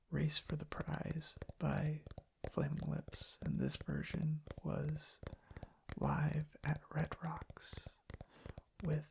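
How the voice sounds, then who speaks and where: A young man rambles in a soft ASMR style, very slowly and with heavy vocal fry. He often slips toward a whisper and halts mid-sentence. He is close to the microphone, with soft tapping and clicking behind him. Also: on the high side. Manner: hushed, halting, pausing mid-sentence. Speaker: ASMR content creator.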